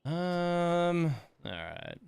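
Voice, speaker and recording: A man's voice: high voice, very animated, very slow, vocal fry, a little mellow, clear, resonant tone, dry recording. A man giving casual livestream game commentary, rambling and muttering to himself as he plays.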